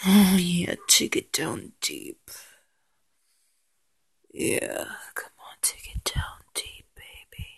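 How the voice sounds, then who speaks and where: A woman in an intimate, ASMR-style erotic roleplay, mostly whispering in a breathy voice with a slow, teasing murmur and lingering pauses. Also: fairly low pitch, wildly swooping pitch, no room sound, studio quality.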